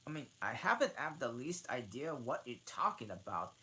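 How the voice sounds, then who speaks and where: A woman voicing a bewildered, polite young girl, talking in a higher, flustered and halting way. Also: very animated, sharp tone, exaggerated voice, low-quality recording. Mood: indignant.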